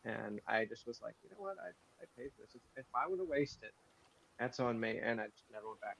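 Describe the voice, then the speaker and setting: A man's voice: medium pitch, lively intonation, fast talker, vocal fry, a bit bright, a little hiss or hum. A man chatting casually and informally as a co-host on a livestream. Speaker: podcast writer and co-host. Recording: dull, muffled stream audio.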